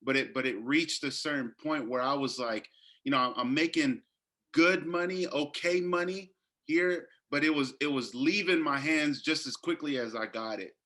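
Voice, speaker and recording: Guest, male, 30s, bright forceful tone, earnest storyteller who restarts often. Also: a little high, normal speed. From Northern California. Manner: earnest, many fillers and restarts. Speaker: guest health coach. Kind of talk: casual interview storytelling.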